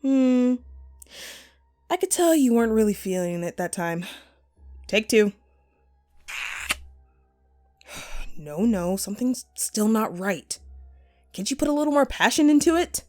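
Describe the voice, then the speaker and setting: A woman's voice: a little high, animated, unhurried, long pauses, darkish tone, faint music behind. A woman playing a vengeful, sadistic jilted actress in a horror audio roleplay, mocking and theatrical, with menacing playfulness. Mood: exasperated, mocking.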